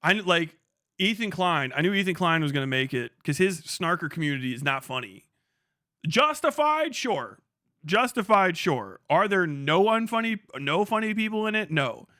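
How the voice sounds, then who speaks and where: A man's voice: high voice, sing-song, moderate pace, bright, forceful tone, no echo, clean studio sound. A young man casually chatting on a livestream, reading chat aloud, swearing freely and laughing often as he rambles.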